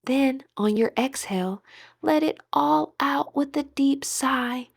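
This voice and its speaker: A woman leading a scripted guided meditation in a soothing, deliberate manner that often softens into breathiness, recorded close on a voice-over microphone. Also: high-pitched, vocal fry, no room sound.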